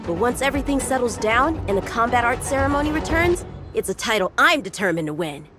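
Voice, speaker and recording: Game dub role: eager teen boy swordsman woman actor, highish, very animated, confident and courteous. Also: fast talker, music in the background, lightly acted. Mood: proud, eager. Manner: earnest, animated. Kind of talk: English video game dub acting.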